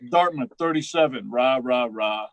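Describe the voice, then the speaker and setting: A man's voice: medium pitch, moderate pace, noisy background, natural voice. A man playing a cocky, blunt chauffeur sidekick in 1940s radio style, brash and slangy. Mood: easygoing.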